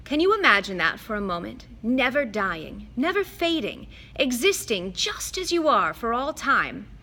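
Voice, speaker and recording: A patient old centaur teacher speaks slowly and gravely, as a woman puts him on. His tone is calm and measured, carried on a bright, clear voice. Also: fairly high pitch, pitched above the performer's own voice, full character voice.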